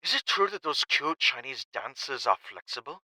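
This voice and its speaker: An actor playing a brash, foul-mouthed champion fighter in an audio drama, loud, cocky and blunt. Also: male voice, mid-range voice, sing-song, normal speed, no echo, full character voice, poor audio. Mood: amused, brash.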